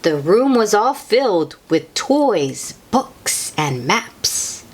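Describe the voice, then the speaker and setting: A woman reading a picture book aloud to young children in an expressive, sing-song way, with chatty asides to the listeners. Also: medium pitch, drawn-out words, slight background noise. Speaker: children's read-aloud host.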